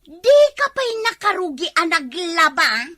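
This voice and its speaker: A woman's voice: very high voice, sing-song, unhurried, pushed and bright, no echo, clean studio sound, full character voice. A woman playing a chatty, concerned village housewife in a comedy drama, with a Filipino accent. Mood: gossipy.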